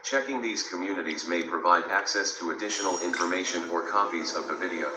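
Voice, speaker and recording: A man's voice: deep voice, quick pace. A text-to-speech male narrator reading out an info article, spelling web addresses letter by letter. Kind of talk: synthetic read-out narration. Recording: roomy with clear music behind.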